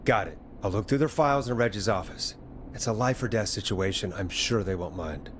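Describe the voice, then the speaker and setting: An actor playing a composed, caring late-night radio host in a video game, speaking in a steady, conversational way. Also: male voice, on the low side, fairly quick, slight background noise, natural voice. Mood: wry, determined. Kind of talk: natural video game voice acting.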